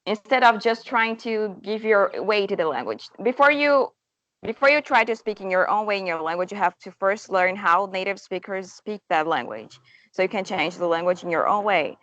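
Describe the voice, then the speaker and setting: A woman's voice: mid-range voice, expressive, moderate pace, fairly bright tone, lo-fi sound. A young woman whose first language is Portuguese, a language teacher answering interview questions eagerly, with many restarts. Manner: enthusiastic, talkative, with restarts.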